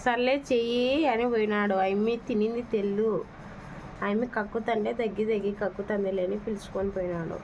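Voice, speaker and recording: A woman's voice: medium pitch, fairly even intonation, a little fast, a little mellow. A woman explaining things in a casual phone conversation. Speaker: prank caller. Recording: slightly muffled phone call, faint noise.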